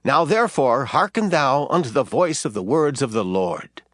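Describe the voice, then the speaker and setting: A man playing a solemn, authoritative prophet in dramatized scripture, speaking in a grave, measured declamation. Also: mid-range voice, sing-song, fairly bright tone, a little hiss or hum. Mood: grave, commanding. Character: solemn, authoritative prophet delivering God's command. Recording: faint music behind the voice.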